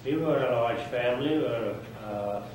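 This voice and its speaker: An older man from Switzerland, telling his life story in an oral history interview in a plain, halting way with many pauses. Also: mid-range voice, a little mellow. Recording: echoey room, distant mic, noisy.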